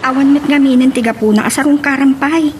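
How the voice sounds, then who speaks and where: A woman's voice: sits high, fairly even intonation, brisk, a little hiss or hum, lightly acted. An actress playing a blunt, protective young female friend in an Ilocano radio drama, speaking emphatically. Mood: concerned. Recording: music under the dialogue.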